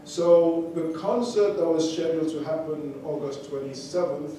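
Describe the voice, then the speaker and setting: A man's voice: a little high, steady tone, moderate pace, warm tone, a little hiss or hum. A man telling a life story to a live audience between songs, talking conversationally with fillers and restarts. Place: concert venue. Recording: distant echoey hall recording with audience ambience.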